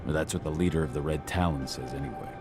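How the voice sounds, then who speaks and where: Grim resolute dad warrior in a game, low voice, music going behind. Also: male voice, quick pace. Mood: somber. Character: grim, determined werewolf warrior and father. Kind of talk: scripted video game acting. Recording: game cutscene with music underneath.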